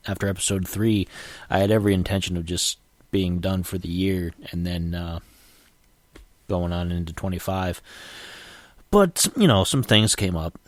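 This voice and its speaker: A man's voice: low-pitched, dry recording. A man hosting a casual solo podcast, sniffly and coughing from a cold, rambling with frequent restarts. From United States. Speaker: podcast host.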